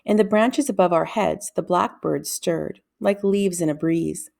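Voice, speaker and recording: A woman's voice: fairly low pitch, unhurried, creaky, a little mellow. A woman reading a children's novel aloud as a scripted storybook narrator.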